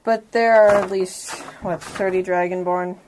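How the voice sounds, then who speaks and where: A woman's voice: on the low side, steady tone, measured pace, darkish tone, poor audio. A woman playing a tabletop roleplaying game, chatting casually in and out of character, with some noise behind.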